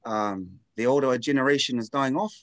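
A man's voice: medium pitch, moderate pace, dry recording. A man speaking as a podcast guest, earnest and candid, with a self-deprecating touch. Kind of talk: casual podcast chat.

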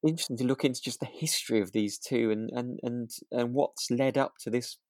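A man's voice: mid-range voice, fairly even intonation, brisk, darkish tone, no room sound, studio quality. A British man co-hosting a podcast, chatting casually in a hesitant, rambling way as he tells stories. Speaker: podcast co-host. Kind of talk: casual chat about a radio drama.

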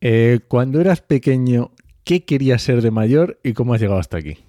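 A man's voice: mid-range voice, lively intonation, brisk, dark tone, no echo, studio quality. A man co-hosting a podcast in a casual style. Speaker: podcast co-host.

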